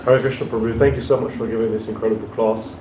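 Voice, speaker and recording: A man's voice: medium pitch, level tone, fast talker. A man in the audience politely asking a question at a class, hesitating and searching for his words. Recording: roomy, noisy hall.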